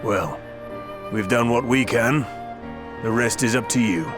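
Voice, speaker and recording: An actor voicing a proud, earnest scholarly man in a video game, speaking formally and deliberately. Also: mid-range voice, over music, close to an everyday voice. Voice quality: measured, formal.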